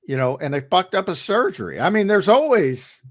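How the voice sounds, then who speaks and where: A man co-hosting a sports talk show, slightly hoarse because he is losing his voice. He argues his points emphatically and often repeats words as he starts a sentence. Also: high voice, big pitch swings, moderate pace. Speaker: co-host and analyst.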